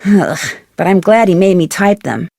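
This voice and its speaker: A curious young American woman detective talks as if chatting on the phone. Her delivery is earnest and matter-of-fact, with an everyday middle pitch. The recording is very dry and clean. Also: expressive, moderate pace, vocal fry, darkish tone, no room sound. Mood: earnest, intrigued. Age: young adult. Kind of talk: video game dialogue acting, conversational phone chat.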